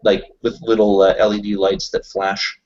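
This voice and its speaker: A man's voice: fairly low pitch, flat delivery, normal speed, a little mellow, lo-fi sound. A man playing a tabletop roleplaying game, explaining things in an informal, rambling way in casual chat.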